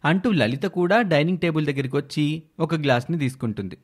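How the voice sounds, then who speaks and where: A man narrating an animated moral tale in a scripted storytelling style. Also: on the high side, fast talker, slightly rough.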